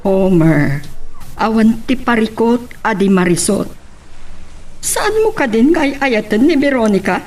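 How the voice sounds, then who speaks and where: A woman's voice: fairly high pitch, lively intonation, unhurried, darkish tone, soft background music. A woman acting a concerned, probing mother of a grown son in a radio drama.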